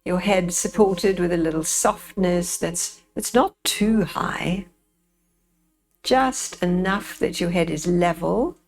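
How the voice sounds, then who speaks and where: A woman leads a guided meditation at a very slow pace, leaving space between phrases. Her voice is on the low side with a creaky, gravelly edge. She instructs gently and soothingly, as a yoga nidra teacher. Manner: soothing, gentle, unhurried guiding with pauses.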